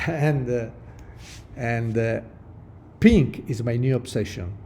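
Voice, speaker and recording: Slow, mellow Spanish-speaking man lecturing. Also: medium pitch, slight background noise. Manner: halting non-native English with many restarts. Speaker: prosthodontist lecturer. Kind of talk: webinar lecture over slides.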